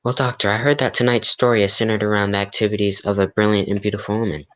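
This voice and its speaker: A man's voice: on the low side, level tone, fast, a bit bright, close to an everyday voice. A man playing a courteous radio announcer and host in an old-time radio drama, speaking in a polite, scripted promotional style. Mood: curious, friendly. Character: courteous, eager radio announcer and visiting interviewer.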